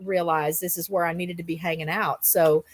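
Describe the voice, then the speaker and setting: A woman's voice: lowish voice, flat delivery, normal speed, no room sound. A middle-aged or older woman hosting a casual livestream chat, talking in an enthusiastic, rambling storytelling way. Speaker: host of a livestream roundup show.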